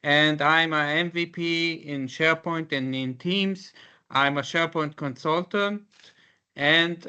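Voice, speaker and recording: Israeli-born consultant, man; bright, smooth voice, slow and careful, gently correcting. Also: fairly high pitch, dull audio. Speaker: SharePoint consultant. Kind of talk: casual online panel talk.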